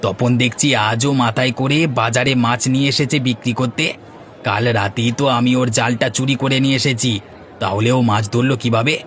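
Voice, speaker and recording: A man's voice: medium pitch, steady tone, fairly quick, a bit bright, slight background noise, natural voice. A man playing a spiteful village saboteur in a Bengali cartoon, gloating and menacing as he speaks. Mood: surprised, scheming. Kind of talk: Bengali moral-story cartoon dub.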